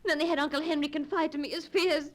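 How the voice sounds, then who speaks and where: An actress playing a desperate, frightened young heiress in 1940s radio melodrama, breathless, halting and urgent. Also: female voice, sits high, steady tone, lightly acted. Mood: desperate pleading. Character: terrified, desperate young heiress pleading and narrating her ordeal. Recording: noisy 1940s radio broadcast.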